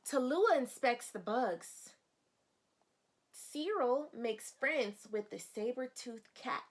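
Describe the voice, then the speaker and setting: A woman teacher reading a picture book aloud to young children, expressive, explaining simply and asking questions. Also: on the high side, slow pace.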